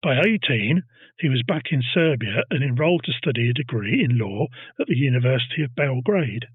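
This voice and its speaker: A man's voice: medium pitch, expressive, brisk, husky, no room sound, phone-quality sound. A man hosting a casual history podcast, telling a story and teasing his co-host.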